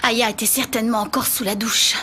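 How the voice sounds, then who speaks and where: A woman's voice: fairly high pitch, steady tone, brisk, heavy background noise, natural voice. A woman voicing a confident young martial arts master in a French anime dub, speaking in a proud, declamatory way. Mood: commanding. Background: loud anime music score.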